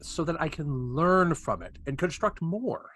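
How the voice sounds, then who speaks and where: A man's voice: a little high, lively intonation, unhurried, fairly bright tone. A man playing a defensive, ambitious cybernetics scientist, by turns hesitant and insistent. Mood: defiant. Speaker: amateur actor in a table read.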